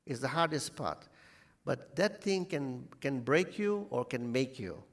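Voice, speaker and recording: A middle-aged man from Tanzania, a hotel chief executive, telling his career story at a measured pace. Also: medium pitch, animated. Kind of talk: panel answer. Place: conference hall.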